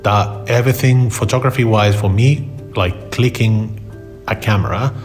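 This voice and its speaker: A man from Spain with a Spanish accent, telling personal stories in conversation in a halting way, repeating words for emphasis. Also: on the low side, over music. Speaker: interview guest, photographer.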